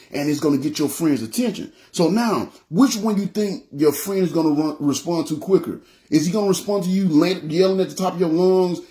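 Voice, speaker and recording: A man with a deep voice, a pastor preaching casually on a livestream, speaking in everyday slang, often asking "you know what I'm saying?" and acting out what people say. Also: warm tone. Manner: acts out quoted lines.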